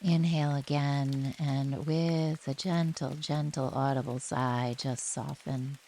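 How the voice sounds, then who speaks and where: A woman guiding a relaxation meditation in a gentle, soothing manner, speaking in short phrases with long pauses. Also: rumbling low, poor audio. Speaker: yoga nidra guide. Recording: steady rain noise behind the voice. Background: rain ambience.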